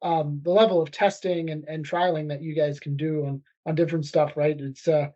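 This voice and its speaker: A man co-hosting a podcast talks in a loose, casual way, often saying "you know". His voice sits a little higher than usual for a man. The audio sounds slightly muffled. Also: little pitch movement, moderate pace, warm tone. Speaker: podcast co-host. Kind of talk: casual chat.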